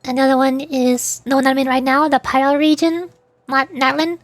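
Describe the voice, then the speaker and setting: A woman's voice: high-pitched, flat delivery, moderate pace, no room sound. A young woman streaming a game, chatting playfully with frequent giggles as she comments. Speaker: VTuber streamer.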